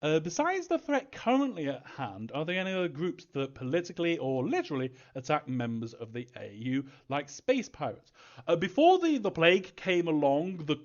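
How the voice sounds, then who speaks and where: A man's voice: a little high, big pitch swings, normal speed, highs cut off. A man answering fan questions as a game creator in a casual live Q&A, rambling hesitantly and thinking aloud as he goes.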